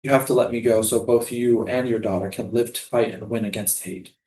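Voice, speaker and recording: A man's voice: medium pitch, steady tone, fairly quick, a little mellow, reverberant, natural voice. A man in a staged play reading, acting a doomed, solemn prisoner who is a loving, poetic father. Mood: gentle, resolute.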